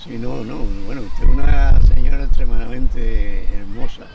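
A man's voice: mid-range voice, normal speed, vocal fry, highs cut off. A man in his seventies with a Cuban accent, a former tennis player talking emphatically in a casual interview at an outdoor court. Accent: Cuban Spanish. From Cuba. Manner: emphatic, repeats words as he talks. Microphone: handheld mic held by interviewer. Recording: distant handheld mic with outdoor noise.

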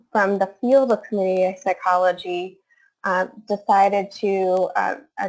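A woman researcher giving a webinar presentation, hesitant, with many fillers and restarts. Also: medium pitch. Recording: dull lo-fi webinar audio.

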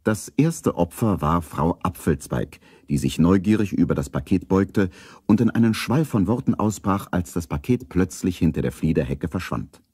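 A man narrating a children's radio play as a calm storyteller. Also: sits low, moderate pace, husky, no echo, studio quality. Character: calm adult male storyteller.

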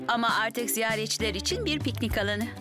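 Woman playing a friendly earnest cartoon zookeeper lady, high voice, explains stuff, music behind. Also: fairly quick, lightly acted. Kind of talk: children's cartoon dubbing.

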